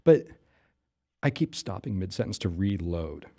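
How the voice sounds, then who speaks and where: A man's voice: sits low, animated, normal speed, gravelly, dark tone. A man, a podcast host, chatting as a guest in a casual interview and often asking "you know what I mean.".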